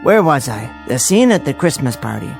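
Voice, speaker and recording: An actor playing a meek, bookish bank clerk who talks to himself in an old-time radio drama style. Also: male voice, mid-range voice, sing-song, vocal fry. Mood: contented, cosy. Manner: talks to himself, halting repetitions when shaken. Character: meek bookish male bank clerk, dazed lone survivor. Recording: radio drama with music underneath.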